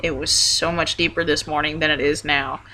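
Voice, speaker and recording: A young man giving a casual video update, talking hesitantly with lots of ums and restarts. His voice wobbles between deeper and higher tones. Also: little pitch movement, moderate pace, pushed and bright, slight background noise, rough recording. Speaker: vlogger.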